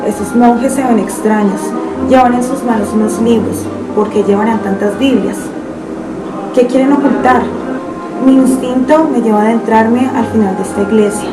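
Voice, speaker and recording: A woman's voice: fairly high pitch, moderate pace, close to an everyday voice. A woman playing a suspicious, inquisitive outsider in an amateur radio drama, thinking aloud in a reflective monologue. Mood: wary, questioning. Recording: echoey, distant, loud music behind.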